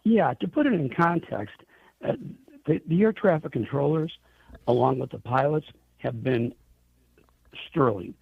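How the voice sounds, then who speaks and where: Gravelly man on a tinny phone line. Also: medium pitch, expressive, unhurried, pauses often, no room sound. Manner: emphatic, rhetorical questions. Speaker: interview guest, airline trade association chief executive. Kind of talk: radio interview, advocacy answers.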